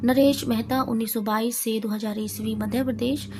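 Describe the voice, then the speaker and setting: A woman teacher reads out exam revision questions, answers and lists, reciting deliberately item by item. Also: fairly high pitch, fairly even intonation. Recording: clearly noisy amateur recording.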